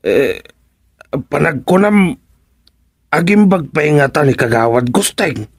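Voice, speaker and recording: Slow, halting, husky Filipino village official. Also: male voice, on the high side, expressive, dry recording, clean studio sound, full character voice. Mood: probing, hesitant. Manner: halting, hemming and hawing. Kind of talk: Filipino radio comedy drama acting.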